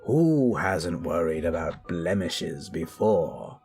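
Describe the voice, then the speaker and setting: A man's voice: deep voice, very animated, takes it slowly, a little mellow, faint music behind. A man hosting and narrating scary stories with theatrical, macabre relish, moving between dramatic storytelling and musing commentary. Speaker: horror story narrator and host.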